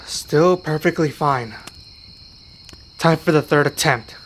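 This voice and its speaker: An earnest young male werewolf played by a man speaks in a slightly high voice at a slow, careful pace. He is sincere and a touch hesitant, as in an intimate ASMR fantasy roleplay. Faint cricket and insect ambience sits behind him. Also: fairly bright tone, a little hiss or hum. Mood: determined.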